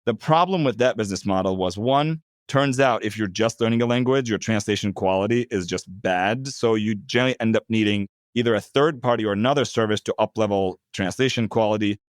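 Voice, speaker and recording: A man in his thirties from Turkey, a product executive calmly explaining his work in an interview. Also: on the low side, dry recording, clean studio sound. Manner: measured, explanatory. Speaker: head of product, guest. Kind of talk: interview answers.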